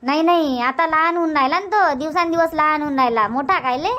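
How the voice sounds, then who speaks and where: A woman's voice: high voice, a little fast, fairly bright tone. A woman voicing an older, indulgent but bossy village grandmother in a cartoon comedy, repetitive, with a Varhadi Marathi accent.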